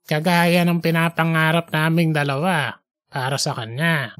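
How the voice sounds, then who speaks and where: Someone voicing a slow, pious old man. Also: medium pitch, steady tone, a bit bright, dry recording, close to an everyday voice. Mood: devout, grateful. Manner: slow, deliberate, hesitant openers. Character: pious, earnest 87-year-old Filipino grandfatherly man. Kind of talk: fictional audiobook character dialogue.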